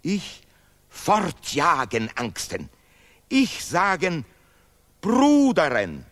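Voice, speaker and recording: An actor playing a pompous, sentimental patriotic scholar in an absurdist radio play, declaiming haltingly in broken grammar. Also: male voice, fairly high pitch, wildly swooping pitch, a bit bright, exaggerated voice. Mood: solemn. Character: pompous male Nobel laureate scholar, bombastic, sentimental patriot.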